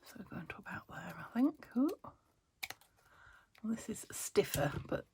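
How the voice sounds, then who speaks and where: A middle-aged woman with a croaky voice from a cold, chatting casually while she crafts and asking little tag questions. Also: medium pitch, big pitch swings, measured pace, a little mellow, whispered. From England. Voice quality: gravelly. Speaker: craft vlogger. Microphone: phone camera.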